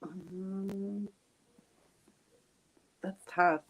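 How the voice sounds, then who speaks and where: A woman, an artist guest, answering questions slowly and thoughtfully. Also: medium pitch, steady tone, low-quality recording. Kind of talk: casual interview.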